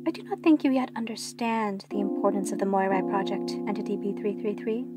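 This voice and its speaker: A woman playing a stern, measured supervisor of a secretive facility in an audio drama, formal, clipped and authoritative. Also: medium pitch, close to an everyday voice. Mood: warning. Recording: prominent musical backing. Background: plucked guitar music.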